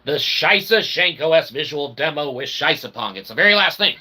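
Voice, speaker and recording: A man hosting his channel in a rambling promotional monologue, wordy and pseudo-technical, animated, often tagging his sentences with a check for agreement. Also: on the high side, fairly quick, breathy edge. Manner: wordy pseudo-technical talk with repeated tag questions. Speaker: channel host.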